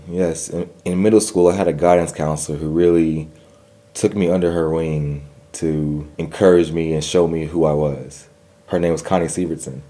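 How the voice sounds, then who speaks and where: A man's voice: low-pitched, warm tone. A young man telling his personal story in an interview, earnest, with a stutter and repeated words. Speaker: guest.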